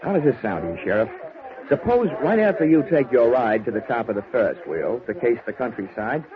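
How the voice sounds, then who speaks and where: A man's voice: mid-range voice, sing-song, fairly quick, crowd noise, lightly acted. An American man acting a wisecracking, cynical insurance investigator in a 1940s radio detective drama, wry and sarcastic. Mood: sly. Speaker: radio actor and narrator. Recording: muffled, noisy old radio recording.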